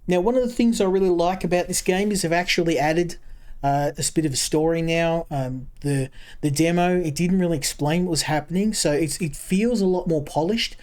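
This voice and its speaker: A man's voice: on the high side, fairly quick, darkish tone. An Australian man with a broad accent giving casual gameplay commentary as a streamer, rambling and repeating words while he thinks aloud. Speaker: game streamer.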